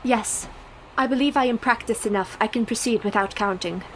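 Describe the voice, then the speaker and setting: Woman as high-voiced, composed Victorian heroine. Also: brisk, a bit bright, a little hiss or hum, lightly acted, poor audio. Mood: wistful. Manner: formal, precise, earnest. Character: brave, composed young Victorian wife in trance. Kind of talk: radio play acting.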